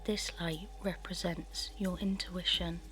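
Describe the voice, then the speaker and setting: A woman leads a guided meditation in a soft, breathy half-whisper. Her voice is on the low side and very unhurried, with a soothing delivery. Music plays clearly behind her. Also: fairly even intonation, rough recording. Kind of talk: guided meditation, scripted narration.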